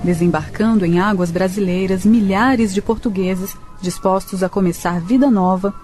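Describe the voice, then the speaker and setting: A woman's voice: medium pitch, normal speed, darkish tone. A woman reading scripted storytelling narration for a radio drama. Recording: faint music behind.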